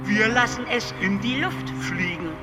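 A male actor playing a calm, detached alien who explains things matter-of-factly in a radio drama, at times stuttering on syllables. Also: sits high, a little slow. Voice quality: stuttering, glitchy repeated syllables. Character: detached, matter-of-fact stammering alien being. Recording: music under the voice. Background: music.

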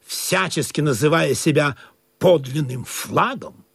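A man playing a droll sailor who narrates a comic sea tale in an expressive, theatrical, husky voice. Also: a little high, measured pace, no room sound, clean studio sound, full character voice. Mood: droll storytelling. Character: droll male sailor narrator, excitable and fawning among crew.

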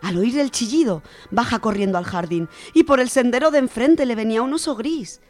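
A woman with an accent from Spain, presenting and reading children's stories on the radio in an expressive, dramatic way. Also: fairly high pitch, a little fast, faint music behind. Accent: Spanish from Spain. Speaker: radio storytelling contributor. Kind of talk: children's book reviews and expressive story reading. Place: radio studio.

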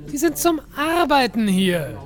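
A bossy office superior in a comic audio play. The voice is high and swings sharply in pitch, nagging and scolding an underling. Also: female voice, big pitch swings, measured pace. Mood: scolding, impatient. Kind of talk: comic audio drama acting.

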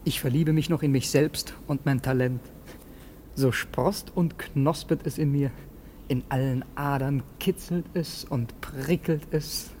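An actor playing a sly, self-admiring parasite servant in a classic radio play, theatrical and unctuous. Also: male voice, medium pitch, steady tone, unhurried, darkish tone, close to an everyday voice. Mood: gloating, scheming. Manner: theatrical, gloating asides and unctuous pleading. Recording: noisy old radio drama recording.

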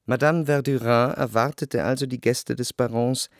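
A man narrating a literary radio play in the first person, measured and composed, in a dry studio. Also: medium pitch, steady tone, no echo, natural voice. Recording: dry close studio sound.

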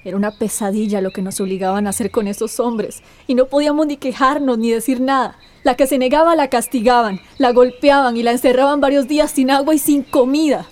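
Radio drama heroine, acted by a woman: gentle, hopeful young woman, soft dark tone. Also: sits high, a little fast, few pauses, natural voice. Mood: haunted recounting.

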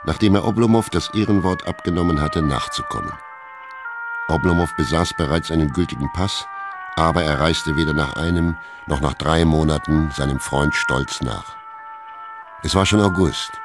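A man reading scripted literary narration for a radio drama in a measured, understated manner. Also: rumbling low, loud music behind the voice.

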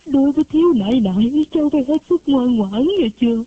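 A woman's voice: high voice, lively intonation, fast talker, no echo, voice pushed up. A woman voicing a sick, anxious elderly grandmother who gives insistent, urgent instructions in a storybook reading. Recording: tinny phone-like recording.

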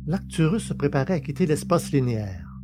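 A man's voice: on the high side, sing-song, brisk, background noise. A man reading a science-fiction novel as a scripted, dramatic audiobook narration. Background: music.

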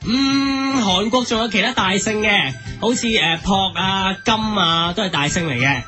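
A man's voice: high-pitched, unhurried, music in the background. A man playing a goofy, rambling celebrity guest on a children's show, repeating himself in a flustered, emphatic way. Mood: eager, goofy. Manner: repetitive, flustered, emphatic catchphrases.

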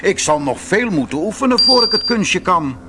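A man's voice: sits high, big pitch swings, moderate pace, over loud music. A man voicing a wizard disguised as a handsome young nobleman, polite and coaxing, in a fairy tale audiobook.

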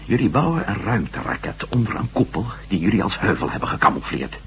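An actor playing a skeptical, persistent former astronaut turned reporter in a Dutch radio drama, sometimes halting and stammering. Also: male voice, lowish voice, sing-song, vocal fry, slight background noise. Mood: skeptical, insistent.

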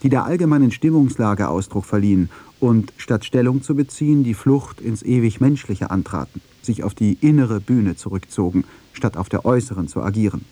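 A man's voice: on the low side, a little fast, hardly any pauses, warm tone, no echo. A man reading scripted narration for a radio documentary feature.

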